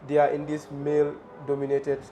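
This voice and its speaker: A man's voice: a little high, completely flat delivery, a little fast, warm tone, a little hiss or hum. A man hosting a TV interview, affirming his guest and mixing local phrases into his English. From The Gambia. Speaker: TV host. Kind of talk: live TV interview.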